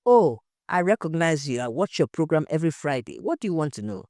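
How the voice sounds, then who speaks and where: A woman's voice: low voice, very animated, brisk, a little mellow, no room sound, clean studio sound. A woman playing a brash, gossipy young shop assistant in an audio story. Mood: eager.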